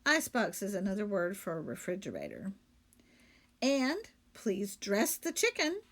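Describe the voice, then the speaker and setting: A woman teacher reading a children's story aloud, pausing to question and explain to young listeners. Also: medium pitch, huge pitch swings, a bit bright.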